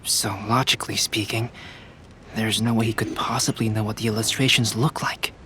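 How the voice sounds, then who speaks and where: A man playing a calm, reserved young scholar in a video game, speaking in a measured, formal, thoughtful way. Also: on the low side, noisy background, lightly acted. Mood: probing, logical. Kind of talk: video game character voice acting.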